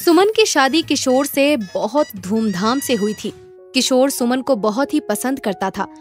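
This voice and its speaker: A woman's voice: high voice, lively intonation, unhurried, faint music behind. A woman narrating a scripted moral story as a storyteller.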